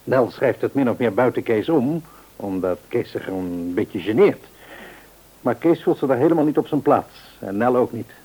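A man's voice: medium pitch, animated, moderate pace, warm tone, husky, rough recording. An actor playing an affectionate middle-aged husband and father in a radio play, reassuring and given to repeating short words. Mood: confiding.

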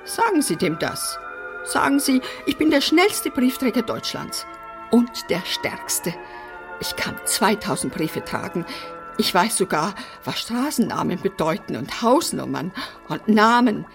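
An actress playing a brash, eccentric apartment resident in a radio drama, theatrical, flippant and defiant. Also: female voice, mid-range voice, big pitch swings, measured pace, creaky, music in the background. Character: brash, eccentric, bossy female apartment resident opening others' letters. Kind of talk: German radio drama acting.

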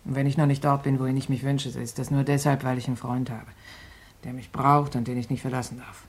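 A young street woman in a 1950s German radio play, with a very deep and husky voice. She is guarded and blunt, speaking with bitter fatalism yet stubborn loyalty. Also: level tone, quick pace, a little mellow, heavily acted. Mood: bitter resignation.

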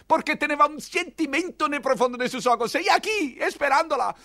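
An actor playing a mad, lovelorn old cardinal with a Spanish accent in a theatrical, anguished monologue for a radio play. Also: male voice, very high voice, expressive, brisk, pushed and bright, exaggerated voice. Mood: desperate, yearning.

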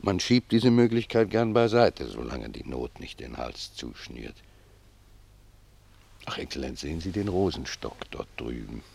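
A man's voice: very deep voice, sing-song, vocal fry, darkish tone, slightly rough, full character voice. A man playing a humble, pious old gardener in a German radio drama, speaking reflectively and with deference to his superior. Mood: gentle, humble. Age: older. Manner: reflective, deferential, unhurried phrasing.

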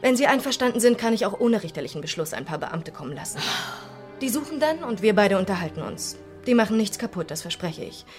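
An actress playing a composed young police detective, questioning politely but insistently in a radio crime drama. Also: female voice, medium pitch, big pitch swings, quick pace, darkish tone, faint music behind, natural voice.

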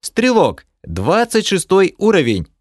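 A man's voice: high voice, huge pitch swings, unhurried, dry recording, clean studio sound, pitched above the performer's own voice, exaggerated voice. A man voicing an indignant young human archer in an audiobook, snapping back in short outbursts. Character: hot-headed young male human archer.

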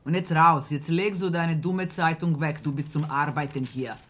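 A bossy farmer played by an actor in a radio drama, with a medium-pitched voice. The talk is scolding and gossipy, slipping into muttered asides, like an employer keeping the help in line. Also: steady tone, moderate pace, fairly bright tone, lightly acted. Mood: scolding, impatient. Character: bossy, chatty small-town farmer, scolding a servant. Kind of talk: German radio play acting.

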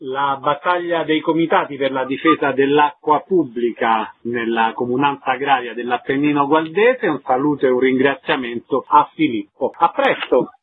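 A man's voice: mid-range voice, moderate pace, few pauses, slight background noise. A man working as a radio interviewer, putting prepared questions and formal sign-offs to a guest. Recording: thin phone-like radio sound.

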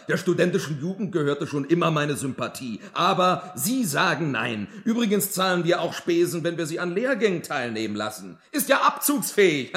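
An actor playing a patronizing, self-important business boss in a German radio play, talking with pompous condescension. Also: male voice, high-pitched, a bit bright.

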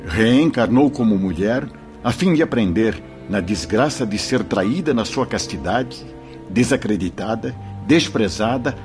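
A grave, wise spirit instructor in a radio drama. He lectures emphatically with a widely moving pitch, over faint music. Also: a little mellow. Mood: stern, didactic.

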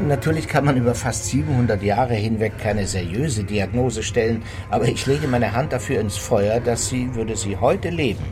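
An actor playing a middle-aged psychiatrist in a German radio drama, explaining in a measured, clinical, matter-of-fact way. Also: male voice, mid-range voice, lightly acted.

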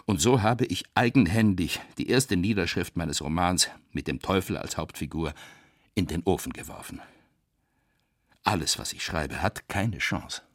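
A man's voice: sits low, unhurried, no room sound, clean studio sound. An actor playing an embattled writer who reads out his defiant letter of protest, grave and emphatic, in a radio drama style.

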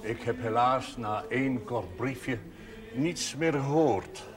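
An actor playing an elderly village priest in a television drama, speaking in formal, measured, slightly hesitant phrases. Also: male voice, medium pitch, over music, close to an everyday voice. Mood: wistful, concerned. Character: old village priest, courtly, wistful, gently teasing. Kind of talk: television period drama dialogue. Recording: faint background noise, 1970s television audio.

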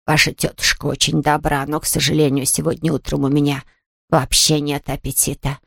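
A woman's voice: low voice, fairly even intonation, fairly quick, vocal fry, no echo, studio quality. A woman voicing a peevish, self-pitying bedridden woman in an audiobook, grumbling and haughty in a languid way. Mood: feeble, self-pitying. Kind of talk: audiobook character voice.

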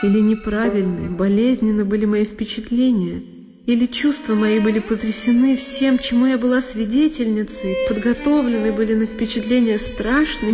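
A woman narrating a literary one-woman audio play in an intense, theatrical manner. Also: medium pitch. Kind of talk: dramatic scripted literary narration. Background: music with solo violin.

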